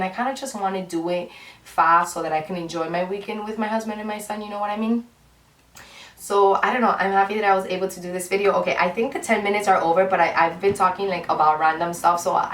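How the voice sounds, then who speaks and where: Woman in her twenties, roomy distant sound. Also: medium pitch. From Cuba. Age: 20s. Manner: rambling, candid and heartfelt. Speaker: beauty vlogger. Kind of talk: casual chat to camera. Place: room at home. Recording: roomy echo, off-mic, faint hiss.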